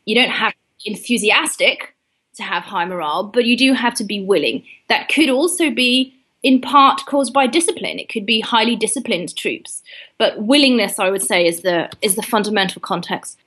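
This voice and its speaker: A woman from the UK explains her field as an interview guest, measured and articulate. Her voice sits at a mid pitch with a slightly husky edge. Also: expressive, fairly bright tone. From United Kingdom. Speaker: historian guest. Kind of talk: interview answers.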